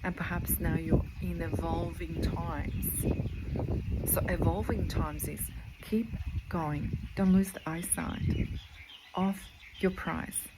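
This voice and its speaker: Low-voiced Croatian woman giving earnest encouragement. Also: steady tone, slow. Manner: earnest, repeats phrases for emphasis. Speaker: spiritual coach and medical intuitive. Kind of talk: motivational talk. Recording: noticeable background noise.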